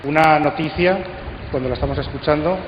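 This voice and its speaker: A man giving a conference talk and leading a discussion, speaking deliberately with pauses. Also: on the high side, highs cut off. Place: conference hall. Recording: echoey hall, faint noise.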